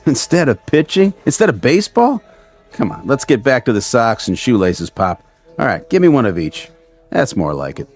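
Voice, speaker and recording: A man's voice: mid-range voice, big pitch swings, moderate pace, darkish tone, soft background music. An actor playing a down-on-his-luck American ex-ballplayer, earnest and doubtful, in a hesitant, plain-spoken radio drama style. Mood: skeptical. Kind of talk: vintage radio drama acting.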